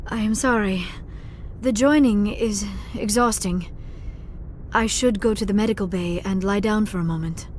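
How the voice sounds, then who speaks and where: A woman playing a gentle, earnest young alien scientist in a video game, speaking formally and precisely. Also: medium pitch, moderate pace, a little hiss or hum, close to an everyday voice. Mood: earnest, weary. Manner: formal, precise speech without contractions. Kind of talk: video game dialogue acting.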